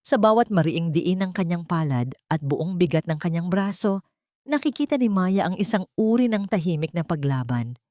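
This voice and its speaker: Low-pitched woman narrating a dramatic story. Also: lively intonation, moderate pace, warm tone, no echo, studio quality.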